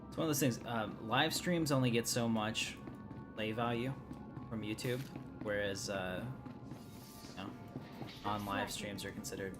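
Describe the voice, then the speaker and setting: A man live-streaming a game in a lowish voice. He rambles casually to his viewers between bits of play, and loud game music runs behind him. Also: measured pace, long pauses. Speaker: live streamer and YouTube gamer. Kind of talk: casual live gameplay commentary.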